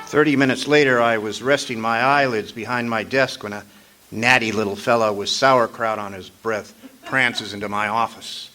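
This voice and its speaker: A man's voice: fairly low pitch, steady tone, moderate pace, sharp tone, faint music behind. A man playing a cocky hard-boiled private detective in a comic old-time radio drama, slangy and sardonic. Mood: suave, wry. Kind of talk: 1940s-style comic radio detective acting.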